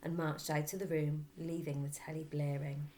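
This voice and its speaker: A woman reading a children's story aloud as a teacher would, in an expressive narrating style. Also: low voice, moderate pace, a little hiss or hum.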